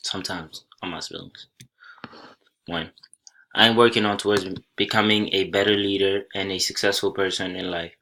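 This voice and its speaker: A young man from Senegal whose first language is Soninke, giving a college class presentation over slides in a plain, unhurried way with small restarts. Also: low-pitched, steady tone, creaky, sharp tone, slight background noise. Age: young adult. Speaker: college student.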